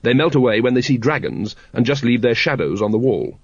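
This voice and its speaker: A man's voice: medium pitch, animated, fairly quick, dry recording, highs cut off. A man voicing an impatient, weary watch captain in an audiobook, terse and dry.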